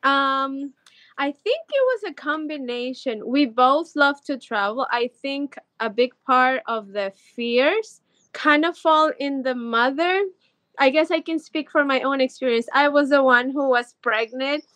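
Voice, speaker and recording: A woman's voice: high-pitched, unhurried, fairly bright tone, no room sound. A woman from Ecuador in her thirties, a podcast guest chatting eagerly with lots of "like" fillers. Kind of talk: casual interview chat.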